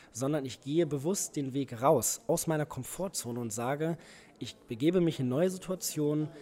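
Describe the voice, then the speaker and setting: Young man, event host and speaking coach, mid-pitched, articulate and reflective in interview. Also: darkish tone. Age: young adult. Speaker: event host and rhetoric trainer.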